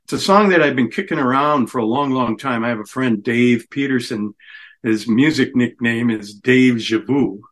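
A man's voice: medium pitch, moderate pace. Easygoing middle-aged man, chatty. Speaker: musician guest. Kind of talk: casual radio interview chat.

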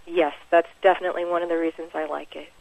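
A woman's voice: on the low side, level tone, fast, creaky, darkish tone, poor audio. An American woman, a musician, speaking casually and informally as an interview guest. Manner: chuckles often, informal.

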